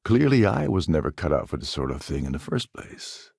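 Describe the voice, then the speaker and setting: An actor voicing a cynical, scheming space pirate pilot in a video game, with a gravelly, husky voice and a wry delivery. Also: male voice, extremely low pitch, very animated, fairly quick, a little mellow, no echo, clean studio sound, heavily acted. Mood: wry, guarded. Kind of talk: video game character dialogue.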